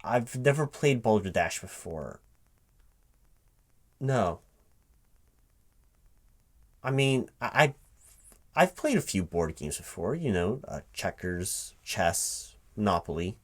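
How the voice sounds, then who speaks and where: A man speaking aloud in a one-sided job interview ASMR roleplay as a recruiting manager, halting and puzzled, often repeating things to check them. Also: fairly low pitch, big pitch swings. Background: computer keyboard typing.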